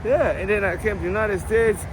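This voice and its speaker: A middle-aged man with a Tanzanian accent, his voice sitting somewhat high for a man. He talks in a halting way, restarting and filling pauses as he answers questions. He is recorded outdoors with clear street and traffic noise behind him. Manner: halting, with many restarts and fillers. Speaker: interviewee. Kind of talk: street interview.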